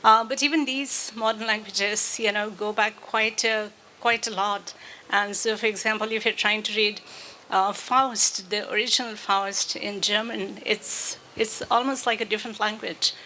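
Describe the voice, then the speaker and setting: A woman's voice: medium pitch, steady tone, moderate pace, sharp tone, slight background noise, low-quality recording. A woman speaking as a panelist at a literary festival, talking in a reflective, thoughtful way with frequent fillers. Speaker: panelist, author and translator.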